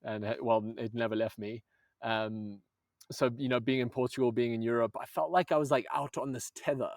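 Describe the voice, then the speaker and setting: A man's voice: mid-range voice, steady tone, fairly quick, vocal fry, darkish tone, no echo, studio quality. A man from Zambia, a movement coach, talking earnestly in a casual podcast conversation, with many restarts.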